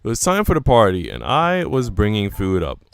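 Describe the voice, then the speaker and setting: A man's voice: lowish voice, wildly swooping pitch, brisk, creaky, clear, resonant tone, faint music behind. A man narrating a story from a visual novel, reading both the prose and the dialogue aloud.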